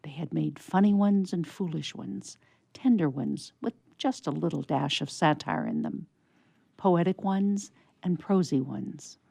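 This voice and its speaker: A woman narrator reading an old-fashioned story in a measured, expressive storybook style. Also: low-pitched, gravelly, breathy edge, no room sound.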